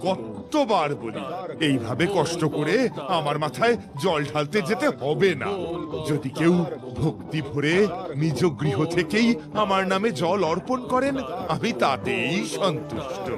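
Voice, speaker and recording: Man playing a flustered, high-voiced cartoon god. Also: very animated, measured pace, loud music behind the voice. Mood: reassuring. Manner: whiny, exclaiming complaints. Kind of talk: comic cartoon voice acting.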